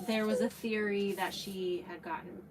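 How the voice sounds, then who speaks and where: A woman's voice: mid-range voice, steady tone, normal speed, far from the mic, noisy background. A woman chatting as a guest, telling a story in a rambling way with lots of fillers. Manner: rambling, many "like"s. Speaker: guest, true crime video creator. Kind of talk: casual chat, storytelling.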